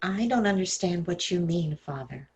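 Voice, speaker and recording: A woman's voice: on the low side, lively intonation, moderate pace, darkish tone, husky. A woman playing a whiny, skeptical American teenage girl in a 1950s-style radio sitcom, petulant and dramatic. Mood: doubtful. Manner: petulant, emphatic.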